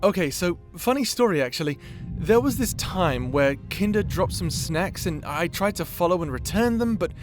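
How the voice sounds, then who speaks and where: A timid young wizard from a fantasy audio drama, acted by a man, with a somewhat high male voice. He stammers and hesitates, awkward but earnest and quick to apologise, over faint music. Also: lively intonation. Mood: sheepish. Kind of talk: fantasy audio roleplay acting.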